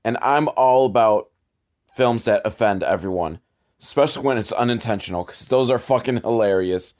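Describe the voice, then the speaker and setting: A man's voice: lowish voice, normal speed, darkish tone. A man hosting a casual comedic commentary on old films, with a sarcastic, profane way of talking. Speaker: host reviewing old educational films.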